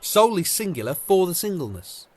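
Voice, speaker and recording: A man's voice: high-pitched, big pitch swings, brisk, dry recording. A man playing a young, courteous, bantering lover in a Shakespeare audio drama. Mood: playful banter.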